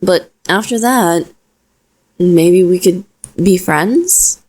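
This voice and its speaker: A woman playing a protective, self-deprecating young witch in an audio roleplay, talking softly and reassuringly to the listener. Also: fairly low pitch, extremely slow, slightly rough, heavily acted. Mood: shy, warm.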